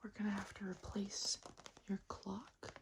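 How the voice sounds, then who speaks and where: A woman whispering gently and unhurriedly in a breathy voice, playing a reassuring repair technician in an ASMR roleplay, close to the microphone. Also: little pitch movement, unhurried. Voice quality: breathy whisper, mixed with soft low-volume speech.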